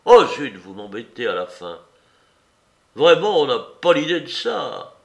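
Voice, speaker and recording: A man's voice: mid-range voice, very animated, unhurried, creaky. A man reading aloud and voicing a young male clerk who speaks in a dry, offhand, dismissive way. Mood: scornful. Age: young adult.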